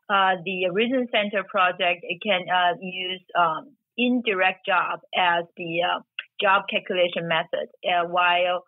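A woman's voice: lowish voice, dry recording. A woman from China, a Chinese and Korean speaker, in her forties, explaining immigration law as a guest, with many hesitations. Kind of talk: interview answers. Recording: phone line.

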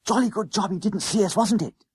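A man voicing a bossy, excitable schoolboy ringleader in an audiobook, speaking in urgent, conspiratorial bursts with old-fashioned slang. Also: high voice, brisk, breathy edge, no echo, studio quality, voice pushed up. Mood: excited, confident.

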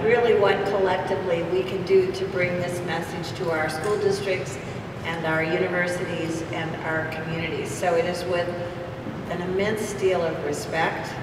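Older woman introducing a speaker in a hall. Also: mid-range voice. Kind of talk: formal introduction speech. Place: large hall on a stage. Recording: echoey hall, distant mic, background noise.

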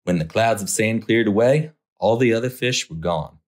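A man's voice: fairly low pitch, moderate pace, darkish tone, soft background music. A man narrating a children's picture book as a storytime host, expressively, drawing out key words for effect.

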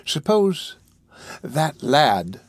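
A sinister old schemer of a gang boss, acted out by a male audiobook reader. He pitches his voice up and leans slowly on his words, insinuating and menacing. The setting is Victorian storybook villainy, recorded close and dry. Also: very animated, no room sound, heavily acted. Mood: sly, insinuating. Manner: slow, drawn-out, emphatic, insinuating delivery. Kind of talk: dramatised audiobook of a Victorian novel.

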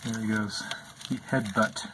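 A man calmly narrating over footage of his pet insect tanks, explaining what they do as he films. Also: lowish voice, noisy background. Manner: slow, calm, explanatory. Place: indoors at an insect tank.

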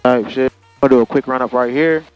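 Young American pilot, music behind him. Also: male voice, mid-range voice, steady tone, fairly quick, smooth, dry recording, dull audio. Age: young adult. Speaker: private pilot and vlogger. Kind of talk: casual vlog chat and pilot radio calls. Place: small plane cockpit in flight. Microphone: aviation headset.

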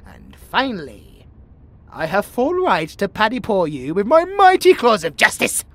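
A British man voicing a pompous, bossy but secretly affectionate tiger warrior in a comedic, creaky-voiced audio roleplay. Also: high voice, huge pitch swings, a little slow, no echo, exaggerated voice. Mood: haughty. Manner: pompous, grandiose, mock-imperious.

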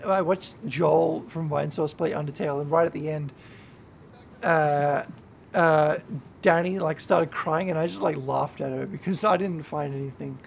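Drunk, gravelly high-voiced young streamer. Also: male voice, fairly even intonation, moderate pace, slight background noise. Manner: rambling and heavy swearing, yelling at the game. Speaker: YouTuber and streamer. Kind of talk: gameplay livestream commentary.

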